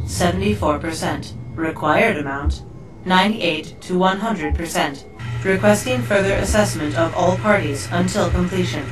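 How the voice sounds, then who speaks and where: Female actor as emotionless computer, clipped formal reports, lowish voice, loud music behind. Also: roomy sound, recorded from a distance, close to an everyday voice, low-quality recording. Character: impassive female computer system giving status reports. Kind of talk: scripted computer announcements.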